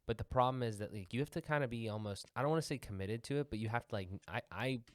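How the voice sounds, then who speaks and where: A man's voice: mid-range voice, quick pace, no room sound, studio quality. A young man chatting casually as a podcast co-host, reasoning aloud and restarting his points as he argues them. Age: young adult. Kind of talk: casual chat.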